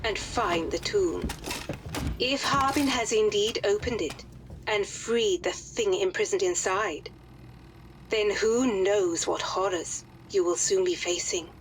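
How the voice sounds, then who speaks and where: An actress plays an authoritative woman in command, briefing adventurers in a game cutscene. Her delivery is formal, composed and measured, at a medium pitch. A little background noise sits behind her. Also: lightly acted, poor audio. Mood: stern, commanding.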